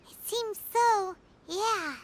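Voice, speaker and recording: A woman's voice: very high voice, slow pace. A woman voicing a tiny, childlike, earnest fairy companion in a video game. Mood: hesitant, earnest.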